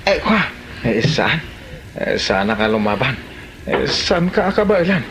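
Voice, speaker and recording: A man with an Ilocano Filipino accent playing a boastful, excitable villager who stammers and backs down in a radio comedy drama. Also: mid-range voice, measured pace, slight background noise. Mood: nervous stammering.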